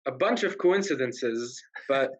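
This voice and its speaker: A man from Egypt, a guest on a video-call interview, telling his story in a relaxed way with many "um"s and "uh"s. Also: mid-range voice, unhurried, slightly rough. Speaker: guest, realtor. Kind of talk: casual interview chat. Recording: dull lo-fi video call audio.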